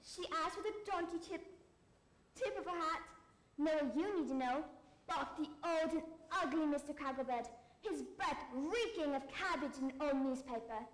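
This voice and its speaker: A woman's voice: sits high, moderate pace, close to an everyday voice. A woman playing a perky talking children's book in a comic sketch, theatrical and full of quaint exclamations. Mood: dramatic storytelling. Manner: theatrical, exclaiming British slang. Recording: echoey room.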